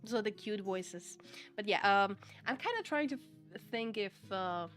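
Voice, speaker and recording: A woman with a Czech accent chats with her live audience in a middle-pitched voice. She laughs easily and keeps checking in with "okay?" as she makes her points. Faint music plays quietly behind her. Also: animated, a little slow. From Czech Republic. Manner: chatty, laughs often, repeats "okay?" to stress points. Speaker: VTuber streamer. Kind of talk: casual livestream chat, answering viewer chat.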